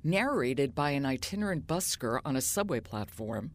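Low-voiced woman hosting an arts program. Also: sing-song, moderate pace. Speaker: radio host. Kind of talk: scripted program introduction.

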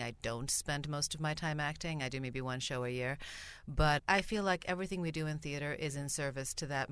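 Smooth-voiced Swedish-raised woman playwright. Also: low-pitched, fairly bright tone. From Sweden. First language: Bulgarian. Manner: thoughtful, reflective, occasional laughs. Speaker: playwright and actress. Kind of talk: interview answers.

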